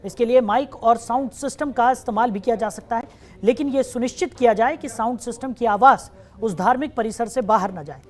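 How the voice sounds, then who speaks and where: A woman news anchor reading a scripted report and introducing guests in a brisk, formal manner. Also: fairly high pitch. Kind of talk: scripted news narration and hosting.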